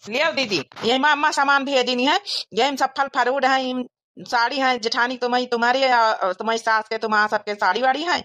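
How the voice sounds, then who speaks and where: An eager younger brother in a village cartoon comedy, played by a woman. He has a fairly high voice and an Awadhi accent, and chats politely and generously. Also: fairly even intonation, a little fast, fairly bright tone, muffled recording, close to an everyday voice. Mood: cheerful, chatty. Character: eager, generous younger brother visiting his married sister.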